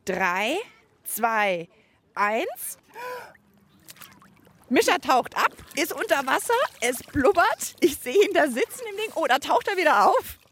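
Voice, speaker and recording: A woman, hosting a children's radio show with lively reporting and chat. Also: high-pitched, slow, long pauses, slight background noise.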